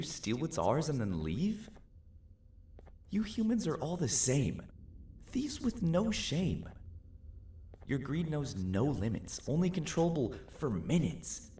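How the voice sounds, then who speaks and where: A man's voice: mid-range voice, darkish tone. A man voicing a haughty, resentful creature poet in a video game, declaiming theatrically and craving applause. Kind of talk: video game character dialogue. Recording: roomy, distant, noisy game ambience.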